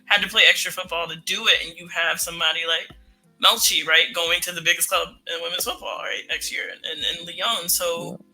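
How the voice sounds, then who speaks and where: A woman co-hosting a podcast, chatting in an animated way with laughter and frequent "right?" tags. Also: lowish voice, brisk, faint music behind, poor audio. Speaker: podcast co-host. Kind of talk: casual podcast chat.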